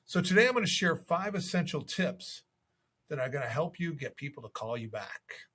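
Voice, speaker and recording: A man's voice: fairly high pitch, very animated, moderate pace, fairly bright tone, husky. A man giving instructional sales tips as a coach, in a conversational manner. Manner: conversational, acts out sample calls. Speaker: real estate sales coach.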